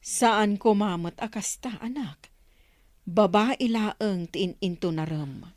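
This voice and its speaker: A woman's voice: medium pitch, slow, a little mellow, no echo, clean studio sound, natural voice. An actress playing a worried, scolding mother in a radio drama. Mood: reproachful. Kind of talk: Ilocano radio drama acting.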